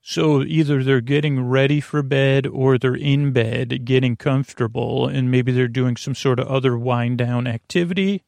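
A man hosting a sleep podcast, rambling informally through an introduction in a halting, meandering way, often repeating himself and trailing off mid-sentence. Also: medium pitch, fairly even intonation, gravelly, darkish tone, no room sound. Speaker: podcast host.

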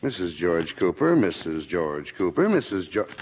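A man's voice: low voice, very animated, normal speed, darkish tone, a little hiss or hum. An actor playing a harried, wry middle-aged American college football coach and father in a 1950s radio comedy-drama. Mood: dejected, wry. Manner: wry, exasperated. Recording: vintage 1950 radio broadcast recording.

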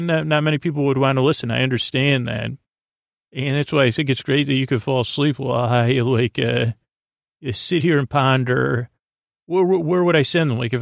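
Rambling gravelly-voiced man, dry close sound. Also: mid-range voice, no room sound. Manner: meandering, drowsy, full of hesitations and restarts. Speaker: podcast host. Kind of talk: rambling bedtime podcast intro.

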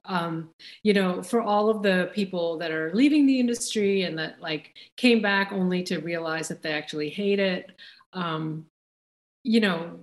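Rambling, laughing woman in her forties. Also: lowish voice. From India. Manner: rambling, laughs often, many fillers. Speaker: chef and guest. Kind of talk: casual interview storytelling.

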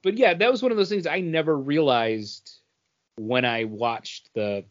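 A man co-hosting a podcast, telling casual nostalgic stories in an animated, slangy way and acting out quotes. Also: a little high, measured pace, highs cut off. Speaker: podcast co-host. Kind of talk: casual chat, nostalgic anecdotes.

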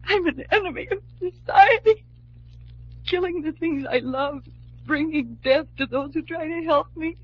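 A woman's voice: sits high, measured pace, no room sound. An actress playing a despairing young woman who believes she is cursed, tearful and melodramatic, in an old-time radio drama. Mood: anguished despair. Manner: melodramatic, anguished. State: tearful, sobbing. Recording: muffled old radio recording.

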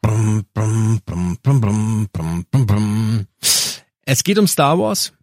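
Ein Mann mit bairischem Akzent, Co-Moderator eines Podcasts, der verspielt und witzelnd eine Geschichte erzählt. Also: male voice, lowish voice, expressive, takes it slowly, darkish tone, no room sound, clean studio sound. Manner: playful storyteller, jokey asides. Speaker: podcast co-host. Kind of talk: casual chat, telling a story.

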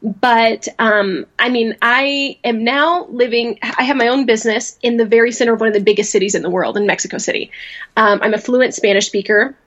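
A woman's voice: on the high side, steady tone, brisk, fairly bright tone. Lo-fi, chatty, laughing woman guest. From rural Louisiana, USA. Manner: rambling, laughs often, self-correcting. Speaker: podcast guest. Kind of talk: casual interview talk.

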